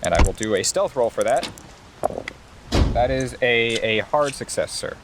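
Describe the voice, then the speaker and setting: A man's voice: medium pitch, expressive, measured pace, background noise. A man playing a tabletop roleplaying game, chatting casually and describing his character's actions.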